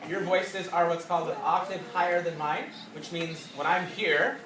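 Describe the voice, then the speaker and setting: A man with a high voice teaching a music class, explaining step by step and breaking into short sung examples. He sounds distant, in a reverberant classroom. Steady noise sits under his voice. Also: normal speed. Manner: explanatory, demonstrates by singing. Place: school classroom. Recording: echoey classroom from far off with loud noise.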